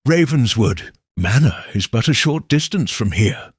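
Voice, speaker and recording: Man acting an uneasy, haunted Victorian gentleman. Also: mid-range voice, expressive, measured pace, breathy edge, dry recording, studio quality. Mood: subdued. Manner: hesitant, uneasy, formal. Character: anxious, haunted gentleman client in Victorian mystery.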